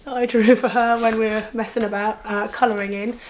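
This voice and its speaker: A woman talks through her project in a relaxed home video diary, her voice hoarse and partly gone. She sits a little high in pitch and hesitates often with ums as she thinks aloud. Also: brisk, a little hiss or hum. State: hoarse, lost voice. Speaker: home bike painter vlogging.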